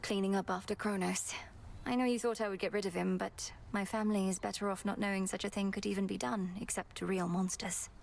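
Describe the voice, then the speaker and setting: A woman playing an earnest young underworld princess in a video game, speaking formally and with measured courtesy. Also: medium pitch, steady tone, creaky, a little mellow, a little hiss or hum, natural voice. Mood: composed, resolute. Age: young adult.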